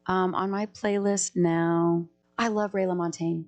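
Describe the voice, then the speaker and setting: A woman with a fairly low voice talks casually as a podcast guest. She is a singer-songwriter who rambles freely, breaks off mid-sentence and starts over. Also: steady tone, unhurried. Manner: chatty, jumps between thoughts, many restarts.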